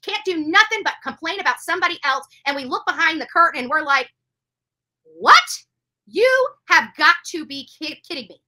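A woman's voice: high voice, expressive, normal speed, sharp tone. A middle-aged woman hosting a livestream, giving indignant commentary in an emphatic way, repeating phrases for stress. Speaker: livestream host. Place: bedroom, indoors.

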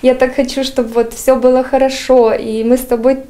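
A woman's voice: sits high, level tone, a little fast, warm tone. A young woman speaking in an interview, earnest and hesitant with many fillers, recorded on a lapel mic in a studio. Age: young adult. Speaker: patient's wife giving a testimonial. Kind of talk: interview testimonial. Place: studio, plain dark backdrop.

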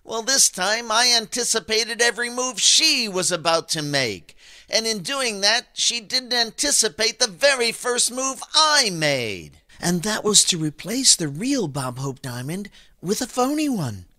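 A man voicing a self-assured private eye who explains things theatrically, with dramatic pauses, in a comic detective audio drama. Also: high voice, sing-song, sharp tone, full character voice. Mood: satisfied.

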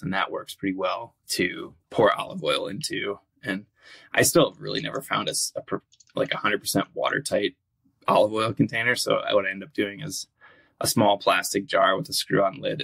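Male podcast guest, low gravelly voice, easygoing and rambling, lots of fillers. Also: bright, forceful tone. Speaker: guest, outdoor cookbook author. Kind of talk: casual interview talk.

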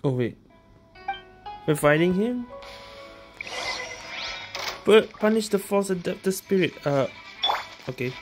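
A woman's voice: on the low side, expressive, slow pace, pauses often. A woman streaming a video game, giving casual reactions as she plays along. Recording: game audio music behind voice.

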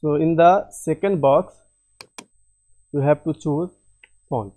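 A man talks through a screen-recorded software tutorial. His voice is fairly high yet mellow, and he speaks slowly, stopping to repeat and correct himself. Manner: halting, repeats and self-corrects often.

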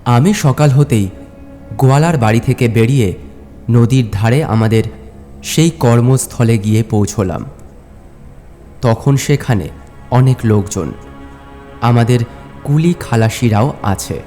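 A man tells a first-person horror tale in a slightly mellow voice. He speaks slowly and dramatically, in short suspenseful phrases. Faint music plays behind him. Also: medium pitch. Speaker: audio story narrator.

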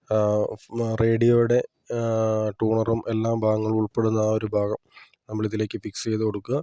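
A man's voice: on the low side, mellow. A man giving a casual hands-on tutorial, explaining plainly step by step as a hobbyist presenter.

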